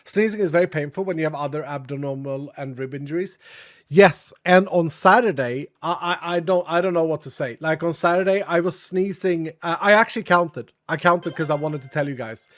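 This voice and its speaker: A man streaming live with a cold, chatting casually in a raspy, sniffly voice and thanking his viewers. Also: a little high, fairly quick, fairly bright tone.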